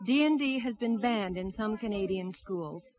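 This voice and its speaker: A woman reading scripted television news documentary narration as a reporter, in a measured, dramatic storytelling manner. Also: medium pitch, lively intonation, crowd noise.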